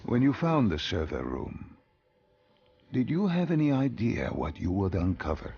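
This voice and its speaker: Deep, gravelly male interrogator. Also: huge pitch swings, muffled recording, exaggerated voice. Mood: probing. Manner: probing, measured questioning. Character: stern male interrogator questioning a soldier, probing. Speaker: video game voice actor.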